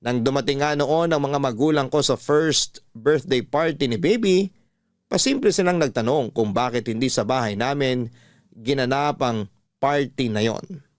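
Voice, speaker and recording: A man reading a listener's love-story letter on a radio show, in calm, measured storytelling. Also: mid-range voice, dry recording, clean studio sound. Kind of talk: scripted narration of a letter.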